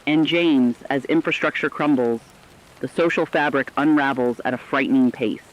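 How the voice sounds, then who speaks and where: A man's voice: medium pitch, moderate pace, lightly acted. A man playing a somber radio co-anchor in a disaster drama, reading formal, earnest reports with emphatic lists, on slightly dull audio. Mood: somber, concerned. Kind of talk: scripted radio news drama narration.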